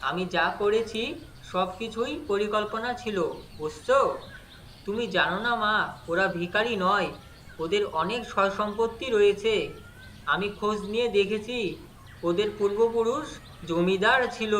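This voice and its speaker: A man's voice: high-pitched, a little slow, pauses often, bright, forceful tone, a little hiss or hum. A man voicing a greedy, two-faced young husband in a cartoon fairy tale, persuasive and explanatory. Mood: sly, boastful. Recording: music under the voice. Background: background music.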